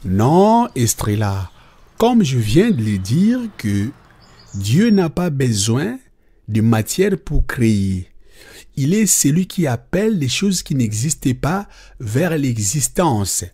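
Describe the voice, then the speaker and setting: Husky man playing a patient cartoon father. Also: mid-range voice, big pitch swings, slow pace, mellow, full character voice. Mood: patient, instructive. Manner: didactic, explains definitions step by step. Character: patient, instructive father explaining nature and God to children.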